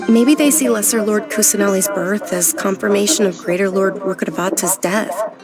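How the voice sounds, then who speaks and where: A woman's voice: mid-range voice, normal speed, lightly acted. A woman voicing a sickly, bookish young noblewoman in a video game, speaking earnestly. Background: game music.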